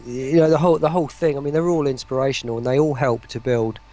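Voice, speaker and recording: A man with a gravelly voice chats casually as a guest on a stream, rambling and often breaking off to start his thought again. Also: mid-range voice, fairly even intonation, fast, dark tone. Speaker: voice pack creator and interview guest.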